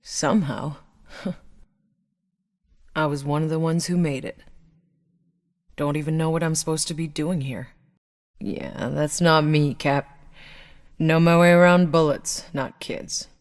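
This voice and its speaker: An actress playing a tough, sardonic, battle-hardened soldier, the protagonist of a video game, with a blunt, wry delivery. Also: female voice, deep voice, fairly even intonation, slow, pauses often, no echo, clean studio sound. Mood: weary, wry. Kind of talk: video game character voice lines.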